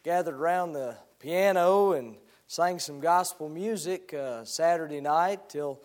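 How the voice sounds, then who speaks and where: A man preaching a sermon as a guest preacher, slightly hoarse, starting with halting remarks and building into a rising preaching cadence while reading scripture. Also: on the high side, darkish tone.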